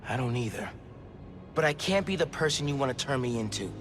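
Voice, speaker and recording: A man's voice: medium pitch, animated, fairly quick, a bit bright, lightly acted. An actor playing an earnest, determined teenage boy superhero in a video game. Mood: hurt. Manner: earnest, determined, talks to himself while working. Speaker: game character. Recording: game soundtrack music behind.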